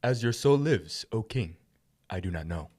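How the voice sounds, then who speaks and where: A man voicing a solemn king and his army commander in a dramatic Bible reading, with stately, drawn-out delivery. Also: on the low side, lively intonation, darkish tone. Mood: grand, solemn. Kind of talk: slow dramatic scripture reading in character.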